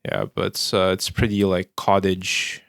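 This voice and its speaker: Monotone, gravelly Canadian-born man. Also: low-pitched, unhurried, no room sound, studio quality. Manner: hesitant, filler-heavy, self-described monotone. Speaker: podcast co-host. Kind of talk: casual chat.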